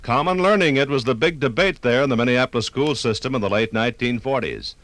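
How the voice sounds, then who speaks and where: An American man reading scripted 1950s radio documentary narration with oratorical, measured phrasing. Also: medium pitch, fairly bright tone, no room sound.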